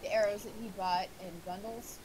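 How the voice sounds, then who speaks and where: A woman's voice: medium pitch, fairly even intonation, fairly quick, a little mellow, soft background music, low-quality recording. A woman in her late twenties chatting casually about a game, rambling and repeating herself. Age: late 20s. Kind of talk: casual chat.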